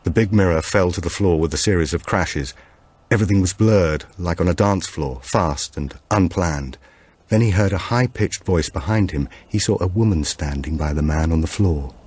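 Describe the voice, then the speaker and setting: A male audiobook narrator with a notably deep voice. He reads scripted suspense fiction as a storyteller. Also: normal speed, darkish tone, breathy edge.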